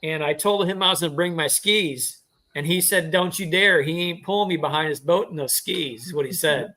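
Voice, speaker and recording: A man's voice: on the high side, fairly quick, sharp tone. An American man in his forties chatting casually and jokingly as a panelist on a group live chat. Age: 40s.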